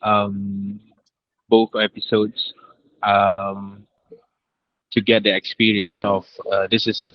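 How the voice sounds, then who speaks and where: A man, a director speaking casually and haltingly, often rephrasing. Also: lowish voice, flat delivery, dry recording, dull audio. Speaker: audio drama director. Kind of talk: casual discussion.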